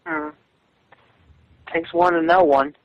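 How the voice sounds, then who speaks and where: A man on a phone call, arguing defensively in a halting, repetitive way. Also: fairly high pitch, fairly even intonation. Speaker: webcomic artist. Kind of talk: phone argument. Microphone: telephone.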